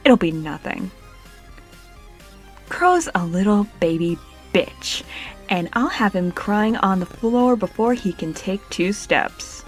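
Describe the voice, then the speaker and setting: A woman's voice: medium pitch, lively intonation, slow pace, faint music behind. A woman voicing a fierce, foul-mouthed, irritable young woman in a comic dub, blunt and scornful. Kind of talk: animated comic-dub voice acting.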